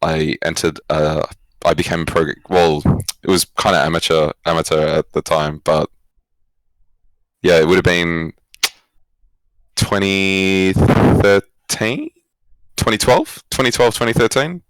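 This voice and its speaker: A man's voice: low-pitched, a little slow, no echo, low-quality recording. A young man with an Australian accent, answering interview questions casually and reflectively, rephrasing as he goes. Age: young adult. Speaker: esports coach, former pro player, guest.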